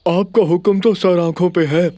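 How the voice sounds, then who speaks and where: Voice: medium pitch, steady tone, normal speed, dark tone, dry recording, close to an everyday voice. An actor voicing a polite, eager and often puzzled male animal helper in a dubbed children's cartoon, courteous and deferential. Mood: eager to please.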